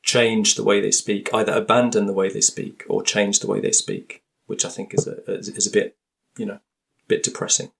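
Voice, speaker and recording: A low-pitched man with a gravelly, creaky voice talks things through in an interview. He pauses, restarts and searches for words as he explains. He sounds like a guest reflecting as he goes. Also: flat delivery. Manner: hesitant, many restarts and fillers. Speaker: linguist guest. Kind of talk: thoughtful interview talk.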